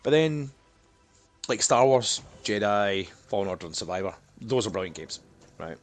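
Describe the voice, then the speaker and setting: A man's voice: lowish voice, lively intonation, normal speed. A man in a casual, jokey, sweary chat with friends as a podcast co-host.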